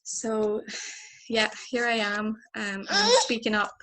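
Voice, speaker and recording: A woman's voice: a little high, slow pace. A woman in her twenties from Romania, telling her personal story earnestly on a video call panel, with many fillers and restarts. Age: 20s. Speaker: panel speaker. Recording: clear noise behind, baby at home.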